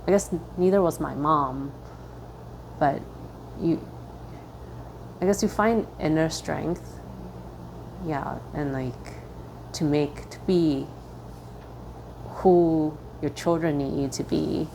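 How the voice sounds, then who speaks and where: A woman with a notably deep, low-pitched voice answers interview questions. She speaks reflectively and often pauses to search for her words. Faint ambient noise sits quietly under her voice. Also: creaky, rough recording. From Singapore. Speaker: interview guest.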